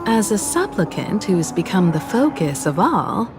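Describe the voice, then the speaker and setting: A poised female mentor from a video game, with a lowish voice. She speaks slowly and knowingly, with playful confidence, over clearly audible music. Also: big pitch swings. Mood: teasing, assured. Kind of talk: video game character acting.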